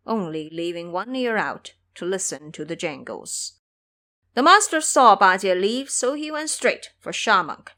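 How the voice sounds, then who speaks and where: A woman's voice: medium pitch, sharp tone. A woman reading scripted storybook narration for an audio drama.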